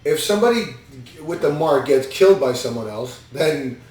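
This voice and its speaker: A man's voice: fairly high pitch, animated, moderate pace, darkish tone. A man hosting a podcast, giving casual, rambling and incredulous movie commentary. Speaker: podcast host reviewing a movie. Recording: echoey room, distant mic.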